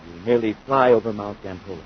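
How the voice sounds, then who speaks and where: An actor playing a cunning, curt criminal mastermind in a 1950s radio serial. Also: male voice, on the low side, fast talker, lightly acted. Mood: menacing. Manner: curt, domineering. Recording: noisy, dull 1950s radio recording.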